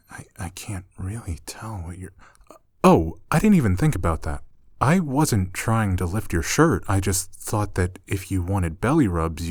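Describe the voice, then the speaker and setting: A man plays a young, soft-spoken male roommate in an intimate ASMR roleplay. His low voice moves slowly, soothing and reassuring with a light tease. The sound is close and dry. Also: dry recording. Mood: apologetic concern. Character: gentle, teasing male roommate soothing a shy catgirl-like companion.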